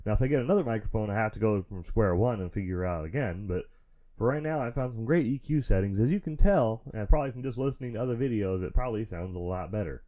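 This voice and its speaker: A man's voice: expressive, quick pace, warm tone. A man hosting his own show, rambling casually and frankly while feeling sick and stuffy, into a studio mic at home. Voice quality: naturally deep voice. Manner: rambling, frank, chuckles often. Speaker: podcast host. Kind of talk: casual solo chat. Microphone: studio mic through audio interface.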